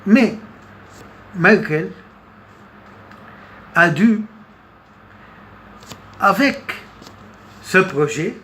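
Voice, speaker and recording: Very slow, halting man giving commentary. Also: high-pitched, lively intonation, bright, forceful tone. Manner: halting, hesitant, with long pauses and repeated words. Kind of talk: unscripted political commentary monologue. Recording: noticeable background noise.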